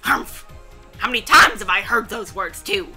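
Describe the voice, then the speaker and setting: A woman voicing a scowling old grandmother who yells and nags in exasperation during a game read-aloud. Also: mid-range voice, expressive, moderate pace, husky, soft background music, exaggerated voice. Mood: exasperated nagging. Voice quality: very loud, yelling. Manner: exasperated, nagging, snorting.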